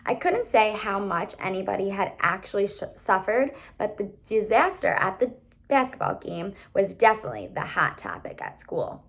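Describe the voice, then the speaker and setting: A woman's voice: mid-range voice, lively intonation, normal speed, fairly bright tone. A woman reading a children's novel aloud expressively, acting out the dialogue as she goes. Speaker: reader, teacher reading aloud.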